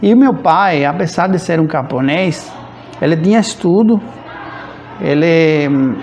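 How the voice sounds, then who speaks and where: Male professor, about 50, Cuban accent, Spanish native. Slightly high, creaky voice, informal storytelling with searching pauses. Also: animated, a little mellow, some chatter behind, slight background noise. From rural central Cuba. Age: around 50. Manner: hesitant, searching for words with frequent pauses. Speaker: physics professor. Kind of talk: informal autobiographical account.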